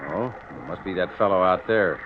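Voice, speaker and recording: A man's voice: low-pitched, lively intonation, moderate pace. An actor playing a folksy, matter-of-fact old man who runs a bait shack, in 1950s radio drama style. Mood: unhurried, grumbling. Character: laconic old male bait-shack keeper. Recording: dull old radio recording, waterside noise.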